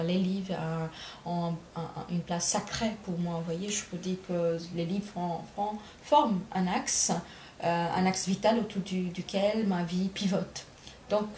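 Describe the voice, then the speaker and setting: A middle-aged woman from India speaks with an Indian accent, choosing her words slowly and reworking her phrases as she goes. Her voice carries some room echo, and a faint ambient hum sits underneath. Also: fairly low pitch, a little mellow. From Bengal, India. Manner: thoughtful, many hesitations and self-corrections. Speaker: writer. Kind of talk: reflective interview answers.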